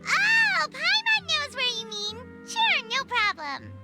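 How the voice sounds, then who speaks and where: A woman's voice: very high voice, big pitch swings, slow pace, over loud music, exaggerated voice. A woman voicing a tiny floating girl companion in a video game cutscene, eager and chirpy, talking about herself by name. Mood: curious.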